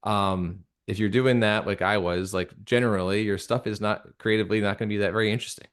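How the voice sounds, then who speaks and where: A man with a fairly low voice talks as a guest in a casual interview. He is earnest and reflective, feeling his way through sentences with halting restarts and a conversational looseness. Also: fairly even intonation, a bit bright, clear, resonant tone. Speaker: guest, audio drama creator and director.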